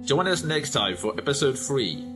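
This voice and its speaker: A man reading out end credits in a plain, steady way. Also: medium pitch, normal speed. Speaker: credits announcer. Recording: background music under the voice.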